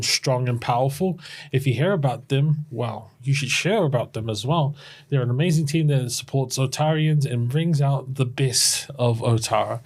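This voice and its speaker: A man's voice: medium pitch, darkish tone. A man hosting a community podcast in an upbeat, enthusiastic, playful way. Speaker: podcast host.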